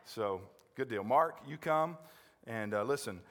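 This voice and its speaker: Folksy American pastor in a reverberant hall. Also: male voice, medium pitch, animated, a little slow, a bit bright. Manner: folksy, checks in with "all right?", "okay?", "amen?". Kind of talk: informal introduction from the pulpit. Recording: reverberant church hall sound.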